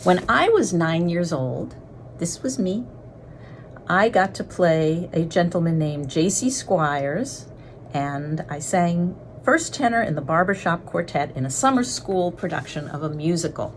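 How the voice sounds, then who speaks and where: A woman's voice: on the low side, animated, a little slow. A woman, a children's author, talking casually to young readers about books and trivia. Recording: faint background noise.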